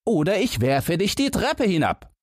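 A man's voice: high voice, big pitch swings, moderate pace, husky, no echo, clean studio sound, voice pushed up, heavily acted. A man voicing a naive, fearless simpleton boy, earnest and guileless, in a fairy-tale audiobook. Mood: bold challenge. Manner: guileless, puzzled, plain-spoken.

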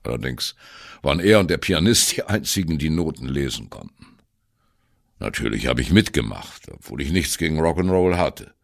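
A male actor plays a disillusioned older jazzman looking back on his band years. His very low voice crackles with fry and moves in lively swings of pitch. The telling is wry, musing and conversational, as in a first-person radio drama. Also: moderate pace, heavily acted. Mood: wry reminiscing. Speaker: narrator of an audio play. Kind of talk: first-person reminiscing narration in a radio play.

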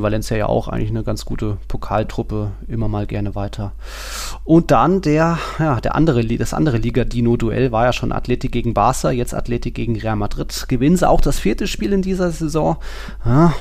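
Gravelly-edged man chatting about football. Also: mid-range voice, a little mellow. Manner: informal, thinking aloud. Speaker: football podcast co-host. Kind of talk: casual football discussion.

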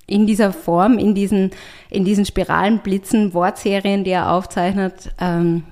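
A woman's voice: medium pitch, steady tone, normal speed, a little mellow. Eager woman writer in conversation. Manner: eager, piles up lists and restarts mid-sentence. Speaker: writer and artist, interview guest. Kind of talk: conversational interview.

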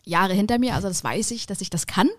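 Young woman, podcast co-host, middle pitch, casual, hesitant, fillers. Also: fast talker, fairly bright tone. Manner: hesitant, many fillers and restarts. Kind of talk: casual chat.

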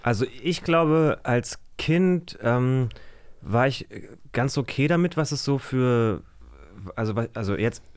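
A man's voice: medium pitch, expressive, takes it slowly, smooth. A man speaking as a guest in a casual interview, reflective and often searching for his words. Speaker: podcast guest.

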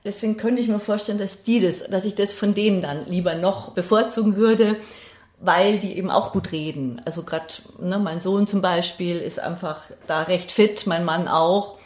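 A woman's voice: on the low side, moderate pace, a little mellow. A middle-aged woman, talking casually and reflectively as an interview guest, hesitant as she thinks aloud. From Passau, Bavaria, Germany. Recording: roomy, echoey sound.

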